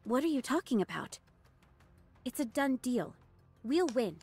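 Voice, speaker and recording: A woman's voice: high voice, big pitch swings, measured pace, darkish tone, husky, heavily acted. A woman voicing a confident android squad leader in an anime game, firm and decisive, with music behind her. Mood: determined, urgent.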